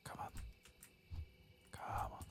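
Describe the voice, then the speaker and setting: A soft-spoken man giving ASMR video game commentary, hushed and often drifting into a whisper. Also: takes it slowly.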